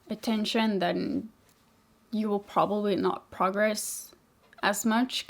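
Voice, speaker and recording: A young woman with a mixed Swedish-English accent chats through viewer questions. She rambles and fills her talk with "like". Her voice sits at an ordinary middle pitch. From Sweden. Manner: chatty, rambling, many "like" fillers, laughs easily. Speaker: vlogger, motorcycle rider. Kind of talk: casual Q&A chat.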